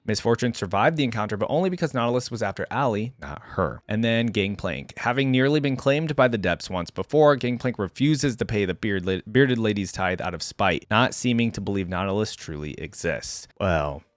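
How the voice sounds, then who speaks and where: A man's voice: lowish voice, expressive, brisk, hardly any pauses, dry recording, clean studio sound. A man hosting a reaction video, giving casual commentary, rambling as he thinks aloud and sometimes reading text out.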